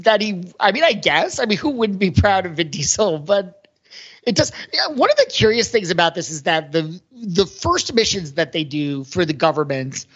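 A man's voice: high-pitched, sing-song, normal speed, a bit bright, husky. Rambling man with dull, muffled sound. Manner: rambling, thinking aloud. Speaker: podcast host. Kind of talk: casual movie discussion.

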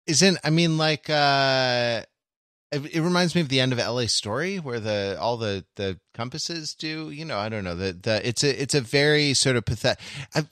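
Halting, bookish man, dry close sound. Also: mid-range voice, a bit bright, no room sound. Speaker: podcast host. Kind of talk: casual discussion.

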